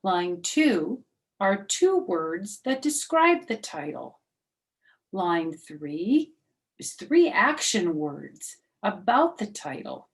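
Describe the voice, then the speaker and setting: A woman reading a children's book aloud as storyteller, animated, with asides to her listeners. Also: mid-range voice, extremely slow.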